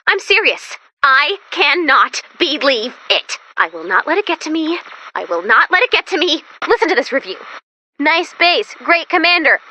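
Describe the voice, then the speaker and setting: A high, bright-voiced female robot sidekick from a video game. She is perky and neurotic, swinging all over her range with dramatic, self-deprecating flair. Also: sing-song, moderate pace, full character voice. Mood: indignant. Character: excitable, anxious, sarcastic female robot helper. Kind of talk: animated video game voice acting.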